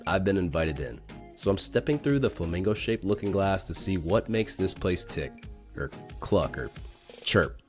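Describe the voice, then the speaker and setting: A man with a very low voice hosts a scripted bar tour. His phrasing is wry and playful. Faint music plays behind the narration. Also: animated, moderate pace, a little mellow. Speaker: host.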